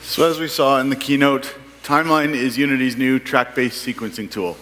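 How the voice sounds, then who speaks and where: A man's voice: medium pitch, fairly even intonation, normal speed, a bit bright. A man giving a product presentation at a conference as a product manager. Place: large indoor conference hall. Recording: echoey hall with long reverb.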